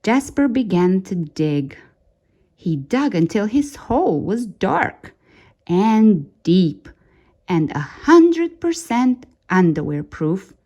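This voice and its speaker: A woman narrates a children's picture book with theatrical suspense. Her pitch moves around in a very animated way, and she takes her time, stretching key words for effect. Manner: dramatic, suspenseful delivery with drawn-out key words. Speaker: storyteller reading a children's picture book aloud. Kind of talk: expressive read-aloud storytime narration.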